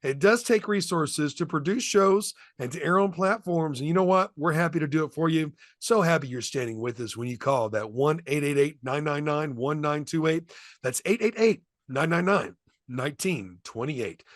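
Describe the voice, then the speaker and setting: A man's voice: fairly high pitch, expressive, normal speed, no room sound, clean studio sound. A man co-hosting a talk show, making an upbeat, salesy fundraising appeal straight to viewers. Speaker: co-host.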